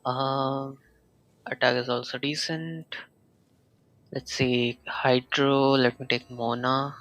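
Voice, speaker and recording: A man streaming a video game live, murmuring to himself slowly and hesitantly as he plays. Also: mid-range voice, level tone, a bit bright, dry recording, highs cut off. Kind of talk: casual live gameplay commentary.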